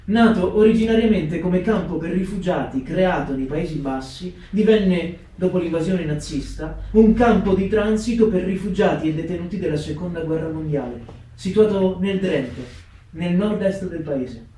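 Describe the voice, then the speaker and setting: An actor playing a sober narrator, reading scripted history on stage in a measured, solemn way. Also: male voice, sits high, warm tone, close to an everyday voice. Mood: solemn, factual. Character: sober male narrator recounting wartime history. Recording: very echoey room, distant mic.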